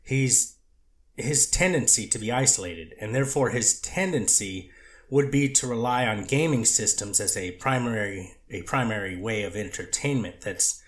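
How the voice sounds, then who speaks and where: A man reading the part of a treatment counselor testifying in court, hedging and halting with many restarts. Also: fairly low pitch, animated, echoey room, lightly acted. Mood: measured. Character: earnest male counselor on the witness stand. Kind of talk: amateur readers theater reading of a court transcript.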